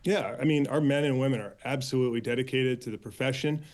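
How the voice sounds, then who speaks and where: An American man answering as an interview guest and union spokesman, earnest and halting, with frequent filler pauses. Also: medium pitch, darkish tone. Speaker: air traffic controllers' union official, interview guest.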